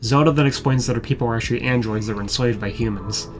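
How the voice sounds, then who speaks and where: A man's voice: mid-range voice, fast. Guy doing wry scripted review commentary, regular mid voice, bit of music under it. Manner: sarcastic, wry. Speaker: video reviewer. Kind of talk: scripted commentary over show clips.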